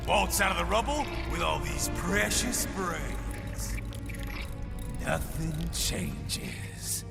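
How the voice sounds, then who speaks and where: An actor playing a swaggering, sadistic mercenary villain in a video game, gravelly and drawling, with a taunting, theatrical delivery. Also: male voice, on the high side, huge pitch swings, very slow, full character voice. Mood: scornful, lecturing. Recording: loud music behind voice. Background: loud music.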